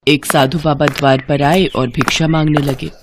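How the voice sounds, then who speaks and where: A woman's voice: low voice, flat delivery, normal speed, background noise. A woman narrating a scripted story as its storyteller.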